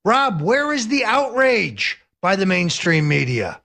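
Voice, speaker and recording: A man's voice: high-pitched, sing-song, a little slow, gravelly, bright, forceful tone. A man commenting on a talk radio show in an indignant, pointed way.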